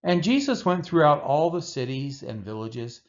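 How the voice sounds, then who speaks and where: A man reading scripture aloud as narration, with measured, deliberate phrasing. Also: a little high, sing-song, highs cut off. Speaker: Bible reader.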